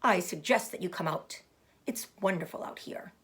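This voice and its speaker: Woman voicing a fast-repeating, eager storybook goose. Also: fairly low pitch, a bit bright, breathy edge, full character voice. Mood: insistent, friendly. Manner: repeats words and phrases rapidly. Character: bossy, fast-talking female goose, eager busybody.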